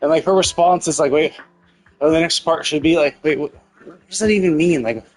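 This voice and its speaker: A man's voice: a little high, fairly quick, soft background music, poor audio. A man commentating casually on a live game stream, thinking aloud in a hesitant, rambling way and repeating himself, with casual swearing.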